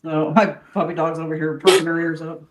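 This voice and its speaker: A woman's voice: low-pitched, level tone, fast, roomy sound, noisy background. An older woman, chatting earnestly while she cooks at home and drifting into preaching. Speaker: host. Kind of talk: casual chat while cooking. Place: home kitchen.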